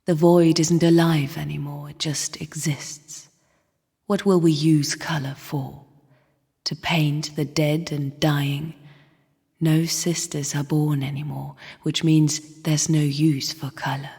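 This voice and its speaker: Casting: scornful female game spirit, low husky whisper, slow cryptic delivery, long cavernous reverb. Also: flat delivery, dark tone, heavily acted. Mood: bitter, weary. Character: bleak, bitter female spirit sister of a dying void. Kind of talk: video game character monologue. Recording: long reverberant hall echo.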